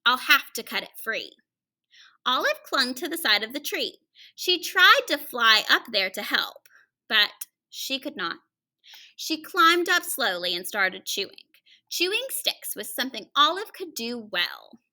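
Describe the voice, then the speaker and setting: A woman reading a picture book aloud to children like a teacher, expressively, with dramatic pauses and hushed conversational asides to her listeners. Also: sits high, very animated.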